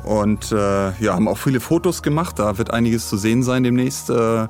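A man's voice: medium pitch, fairly quick, a little mellow, faint music behind. A man co-hosting a podcast in casual chat, rambling along with dry humour in a studio. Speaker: podcast co-host. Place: recording studio.

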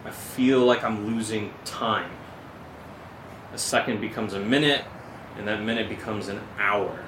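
Audio drama: hesitant male investigator, acted by a man, naturalistic and uneasy; roomy sound with loud hum. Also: lowish voice. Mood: reading, uneasy. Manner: halting, hesitant. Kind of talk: amateur audio drama, naturalistic dialogue and letter reading. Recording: roomy echo, loud air-conditioner-like hum.

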